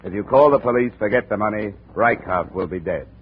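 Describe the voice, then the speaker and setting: An American actor playing a tough, unflappable insurance investigator in a 1950s radio drama, clipped and wry. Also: male voice, fairly low pitch, brisk, close to an everyday voice. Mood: dry narration. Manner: clipped, hard-boiled, wry. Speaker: lead actor and narrator. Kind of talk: 1950s radio detective acting. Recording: noisy, tinny old radio recording.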